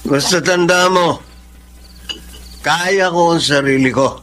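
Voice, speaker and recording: A man's voice: on the high side, slow, a bit bright. A man with a Filipino accent acting a cocky, stubborn young husband in a radio drama, brash and dismissive. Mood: irritated, stubborn.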